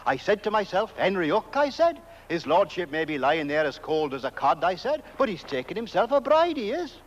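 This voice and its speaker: An actor playing an excitable, deferential village constable with a British accent and a rambling, folksy way of talking. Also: male voice, high voice, fairly quick. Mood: excited, rambling. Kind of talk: 1970s horror comedy film acting. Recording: muffled old film soundtrack.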